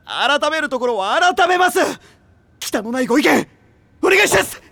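An actor playing a brash, excitable teenage boy who shouts and gets tearful, in an anime drama CD. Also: very high voice, moderate pace, creaky, dry recording, heavily acted. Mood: pleading. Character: brash loud teenage boy volleyball player.

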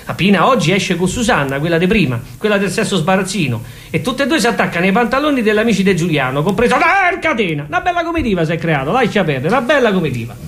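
A man with a high voice and a Roman accent tells absurd comic stories theatrically. His pitch leaps around and his phrasing is colloquial and animated. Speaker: comic monologuist.

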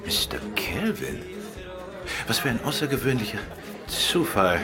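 An actor playing a courteous, urbane gentleman detective with a composed manner in a radio crime drama. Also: mid-range voice, sing-song, unhurried, music in the background. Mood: composed, inquiring. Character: suave, courteous male gentleman amateur detective.

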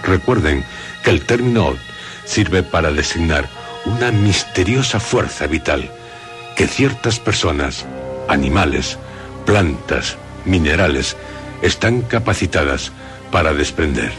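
A middle-aged man with a Castilian Spanish accent, hosting a radio drama in a solemn, deliberate, phrase-by-phrase narration over music. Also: sits low, expressive. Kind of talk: scripted introduction and narration.

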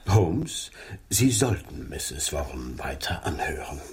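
Detective's mild companion for radio play: deep voice, unhurried, polite, tactful, slightly hesitant. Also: male voice, very animated, creaky, a little mellow, breathy edge, full character voice. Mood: tactful, urging. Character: courteous, mild gentleman, the detective's reasonable, helpful companion. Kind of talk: German radio drama acting.

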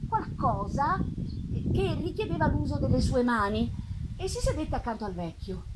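A woman giving an expressive, dramatic literary reading with pauses and drawn-out phrases, performed live on stage. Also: high voice, heavy background noise. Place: live theatre festival performance. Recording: live music behind the voice. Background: live musical accompaniment.

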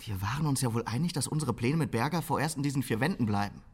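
A man playing a cynical, scheming party strategist in a German radio drama, with a sardonic, brisk delivery. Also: medium pitch, a little hiss or hum, close to an everyday voice.

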